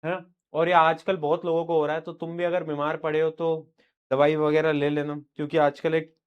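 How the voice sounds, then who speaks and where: Young teacher with a sore throat. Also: male voice, a little high, level tone, normal speed, clean tone, lo-fi sound. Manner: informal, teasing, addresses students as beta. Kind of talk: live online lecture.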